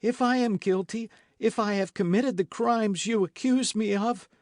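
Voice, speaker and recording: A man voicing a desperate condemned young woman who shrieks defiance and pleads for her life, high and strained. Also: unhurried, no echo, studio quality, close to an everyday voice. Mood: pleading. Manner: shrill, cries out in high strained pleas.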